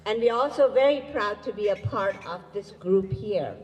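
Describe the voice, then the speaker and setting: A woman whose native language is Urdu, speaking as an educator and emcee at a community event, giving a measured, formal welcoming speech to an audience. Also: medium pitch, expressive, dull audio, faint music behind. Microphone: distant room mic. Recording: echoey hall, voice far from mic.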